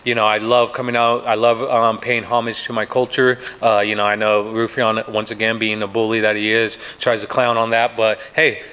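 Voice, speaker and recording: A man's voice: fairly low pitch, flat delivery, moderate pace, hardly any pauses, pushed and bright, clear, resonant tone. A man, a pro fighter, answering reporters at a press day, confident and full of restarts and "you know"s. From United States. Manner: confident, trash-talking, many restarts and fillers.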